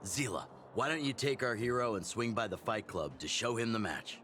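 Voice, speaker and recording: A man's voice: on the low side, normal speed, a little hiss or hum. A fatherly, easygoing town chief. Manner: relaxed, fatherly, teasing. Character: genial older male town chief and mentor. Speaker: community chief.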